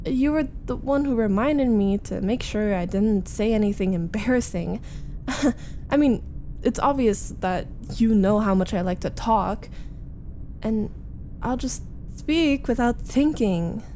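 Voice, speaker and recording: A woman voicing a flustered, needy young roommate in a chatty, halting, defensive roleplay audio, confiding as she vents. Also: on the high side, lively intonation, warm tone, a little hiss or hum. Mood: mock indignant. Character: flustered, needy young female roommate venting about a date. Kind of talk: roleplay ASMR audio acting.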